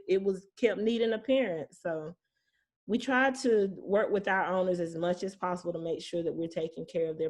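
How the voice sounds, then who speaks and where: A woman's voice: lowish voice, a little mellow. A woman speaking as a guest property manager, explaining conversationally with anecdotes in a plain-spoken, folksy way.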